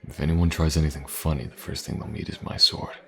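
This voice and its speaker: An actor playing a gruff, wary, self-assured mercenary swordsman in a fantasy audio roleplay, speaking in a dry, terse way. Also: very deep voice, level tone, fast. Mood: dry, confident.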